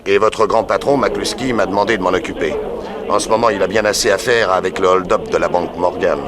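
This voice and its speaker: A man's voice: deep voice, steady tone, fairly quick, a crowd in the background, lightly acted. A man playing a cool, self-assured private detective in a 1960s French radio drama, with a dry, authoritative manner. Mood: wry, explanatory.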